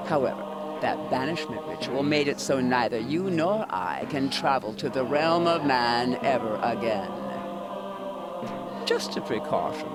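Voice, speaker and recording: A man voicing an ominous eldritch god in a video game, a stern elder uncle speaking formally and deliberately with condescending menace. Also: mid-range voice, lively intonation, lightly acted. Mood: quietly threatening. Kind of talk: theatrical video game villain monologue. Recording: music behind the voice.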